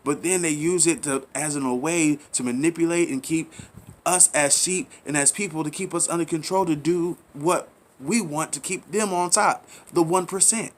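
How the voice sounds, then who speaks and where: A man's voice: on the high side, moderate pace. A man giving a rambling, preachy monologue, repeating himself, asking rhetorical questions and urging listeners in casual slang. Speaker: commentary channel host. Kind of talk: impassioned monologue.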